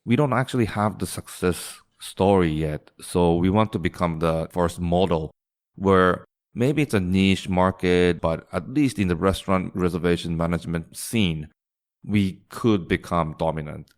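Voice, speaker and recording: A man's voice: low-pitched, a little slow. A man who grew up in Singapore, a startup founder chatting casually as an interview guest and often restarting his phrases. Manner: restarts phrases, quotes others in a lighter voice.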